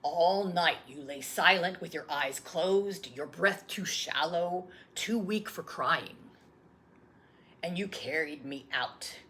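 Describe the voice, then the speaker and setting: Woman as a slow, low-voiced, solemn old grandfather. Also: a little hiss or hum. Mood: grave, tender. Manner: slow, grave, hushed storytelling. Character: gentle, solemn old grandfather telling a tale. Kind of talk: storybook read-aloud.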